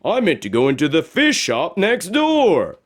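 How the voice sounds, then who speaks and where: A man's voice: fairly high pitch, huge pitch swings, a little slow, pitched above the performer's own voice, full character voice. A man voicing a friendly, apologetic talking bear in a storybook. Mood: sheepish explaining. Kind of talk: storybook character voice.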